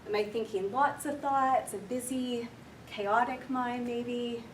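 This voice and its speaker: Soft-spoken woman guiding a meditation. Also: fairly high pitch, fairly even intonation, slight background noise. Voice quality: quiet voice. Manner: soft, slow, gentle and unhurried. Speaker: counsellor leading a workshop. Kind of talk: guided meditation in a workshop talk. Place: large echoey room with an audience. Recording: echoey room recorded from far off.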